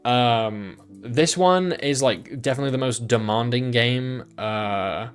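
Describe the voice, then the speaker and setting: A man's voice: medium pitch, slow, clean tone, soft background music. A young man casually chatting on a livestream about the games he played, rambling with lots of ums and restarts. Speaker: streamer.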